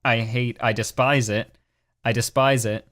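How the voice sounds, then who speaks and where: Slow, exasperated podcast co-host. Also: mid-range voice, steady tone, a bit bright, dry recording, studio quality. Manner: exasperated, groaning over the text. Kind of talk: dramatic reading and comic chat.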